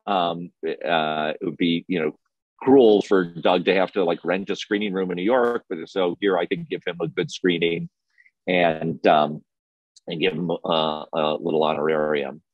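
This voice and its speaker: A man reminiscing casually in an interview as a film festival programmer, speaking haltingly with frequent restarts. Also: sits low, fairly even intonation, dry recording, dull audio.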